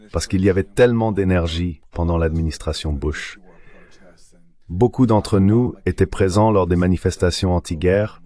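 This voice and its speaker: A man with a low-pitched voice speaks as a panelist. He delivers political commentary in the form of a translated voice-over, as part of a discussion. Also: darkish tone.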